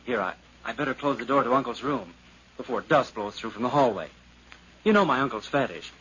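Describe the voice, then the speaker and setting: An actor playing a guilt-ridden nephew who has murdered, courteous yet prone to panic, in theatrical 1950s radio drama style. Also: male voice, mid-range voice, expressive, a little fast, lightly acted. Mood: polite, controlled. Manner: theatrical, courteous turning frantic. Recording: dull, hissy 1950s radio recording.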